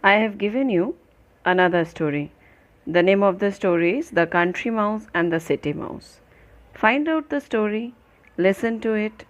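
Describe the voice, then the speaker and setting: A woman's voice: medium pitch, expressive, normal speed. A woman teacher telling a moral story to young children in simple, repetitive phrases, putting questions to them.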